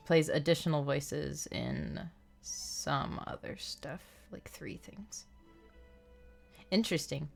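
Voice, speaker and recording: A woman's voice: low-pitched, slow, gravelly, faint music behind. A woman livestreaming a game, chatting casually and reacting to it. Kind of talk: casual stream chat and reactions. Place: indoor livestream setup, music behind.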